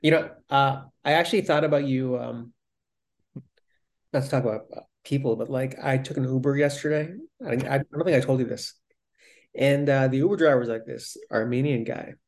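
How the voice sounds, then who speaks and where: A man's voice: medium pitch, fairly even intonation, normal speed, a little mellow. A young man co-hosting a casual podcast, telling rambling stories full of restarts and quoted asides. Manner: rambling storyteller, many "I was like" restarts. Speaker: podcast co-host. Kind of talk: casual chat.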